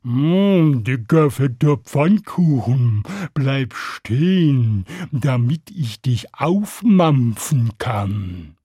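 A man voicing a hungry, demanding cow in a storybook tale, with heavy drawn-out words. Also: medium pitch, sing-song, mellow, husky, no room sound, studio quality, exaggerated voice. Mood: slow, demanding. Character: big slow hungry female cow, demanding.

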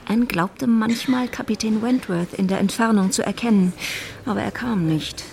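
A woman giving measured, composed literary narration in a radio play. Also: medium pitch, gravelly, a little mellow, natural voice.

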